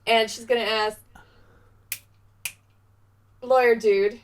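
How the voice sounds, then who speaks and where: A woman's voice: on the high side, fairly even intonation, slow pace, a crowd in the background. A woman playing a tabletop role-playing game, casually describing and speaking for her character. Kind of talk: casual third-person role-play. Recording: off-mic with audible background noise.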